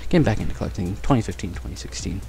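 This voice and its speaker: A young man chatting casually and excitedly while opening trading cards in a bedroom, on a headset mic. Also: fairly low pitch, rapid-fire. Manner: upbeat, chatty, excited exclamations. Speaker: card collecting vlogger. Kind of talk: casual unboxing chat. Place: bedroom studio, indoors.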